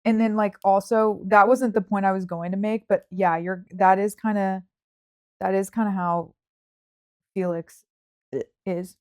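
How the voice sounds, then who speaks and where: A woman's voice: mid-range voice, steady tone, unhurried, clean tone. Casual, hedging woman chatting about books. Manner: informal, hedging, frequent "like". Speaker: book podcast host. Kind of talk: casual book chat.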